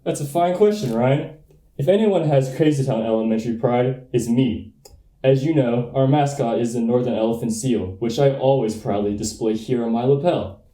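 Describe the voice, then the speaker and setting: Young man as a blustering, very mellow-voiced schoolboy politician. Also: medium pitch, normal speed, roomy sound, natural voice, poor audio. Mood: smugly proud. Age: young adult. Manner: folksy, bombastic politician delivery. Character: smug, blustering schoolboy candidate for class president. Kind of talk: comic radio play acting.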